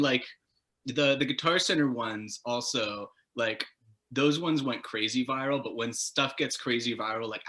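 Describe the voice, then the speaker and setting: A man speaking as a guitarist interview guest, telling stories in a casual, rambling, animated and self-deprecating way. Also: mid-range voice. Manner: animated, self-deprecating, casual swearing, laughs while talking.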